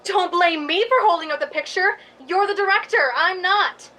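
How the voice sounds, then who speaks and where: An actress playing an imperious, short-tempered film star with a haughty, snappish manner in a radio drama. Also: female voice, very high voice, moderate pace, bright, forceful tone. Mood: haughty, irritated. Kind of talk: theatrical radio drama acting.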